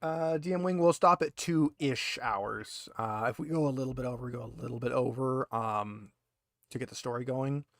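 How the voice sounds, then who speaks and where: A man's voice: medium pitch, normal speed, a bit bright, no echo, studio quality. A man talking casually as game master, explaining rules and narrating a tabletop game in an informal, slightly hesitant, patient way.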